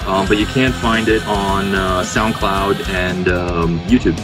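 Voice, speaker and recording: A man's voice: sits low, steady tone, slow. A man who plays in a rock band, chatting casually about music as a podcast guest.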